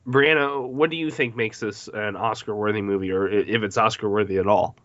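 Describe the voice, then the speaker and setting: A man's voice: lowish voice, fairly even intonation, brisk, fairly bright tone, no room sound, lo-fi sound. A man hosting a podcast panel discussion in a casual style, addressing guests and listeners. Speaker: podcast host.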